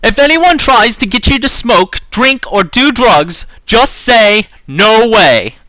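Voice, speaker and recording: A man's voice: sits high, animated, takes it slowly, sharp tone, no echo, exaggerated voice, low-quality recording. A man voicing a prim young female horse in a storybook, earnestly moralizing at her sister. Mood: scolding.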